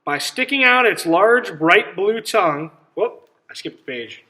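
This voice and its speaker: A man reading a picture book aloud to children as a teacher, pausing to ask simple questions and explain things. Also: sits high, expressive, pushed and bright, low-quality recording. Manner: instructive, checks understanding with simple questions.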